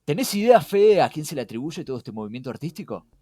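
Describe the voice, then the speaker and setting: Male podcast host, Rioplatense Spanish accent, animated intonation, engaging and questioning, chatty explainer over background music. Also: on the high side, fast. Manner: engaging, asks rhetorical questions. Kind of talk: casual conversational podcast explainer. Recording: music behind.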